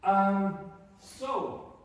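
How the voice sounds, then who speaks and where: A man's voice: sits high, expressive, extremely slow. A man from Germany, a teacher, leading a conference workshop in a self-deprecating, joking manner. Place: conference room. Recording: echoey room, distant mic, faint noise.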